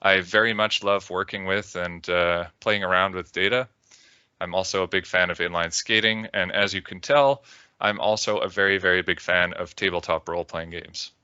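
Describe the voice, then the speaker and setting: A man from Canada with a Canadian accent, giving a measured technical presentation on a video call with occasional "uh"s. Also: low-pitched, fairly even intonation, sharp tone, clear, resonant tone, no room sound, lo-fi sound. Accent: Canadian English. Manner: measured, deliberate, with filler "uh"s. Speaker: conference speaker.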